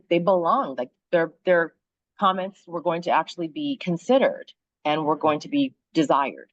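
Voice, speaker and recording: A woman's voice: low voice, darkish tone, dry recording, dull audio. A woman on a webinar panel, explaining her point fluently and with emphasis over a video call. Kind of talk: casual panel talk.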